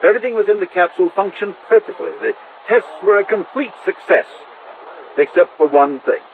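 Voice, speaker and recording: Anxious scientist, highish voice, halting and bossy, old narrow radio sound. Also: male voice, lively intonation. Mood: tense, grave. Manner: halting, repeating phrases, commanding. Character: tense, intent male scientist directing a test. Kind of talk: mid-century American radio drama acting. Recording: noisy band-limited old radio recording.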